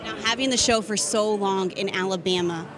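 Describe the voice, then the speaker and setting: A woman's voice: medium pitch, normal speed, crowd noise. An American woman presenting and interviewing in a sports broadcast style.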